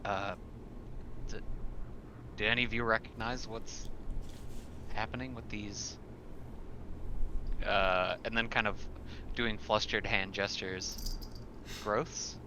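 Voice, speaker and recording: Lowish-voiced man playing a tabletop game. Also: little pitch movement, takes it slowly, pauses often, background noise. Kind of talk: casual tabletop game chat.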